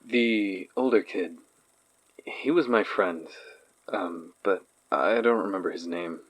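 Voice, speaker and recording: Low, slow-talking haunted man. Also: husky, dry recording, full character voice, poor audio. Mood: wistful recollection. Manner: hesitant, reflective, sighing delivery. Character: uneasy man haunted by childhood horror memories. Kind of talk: naturalistic audio drama testimony.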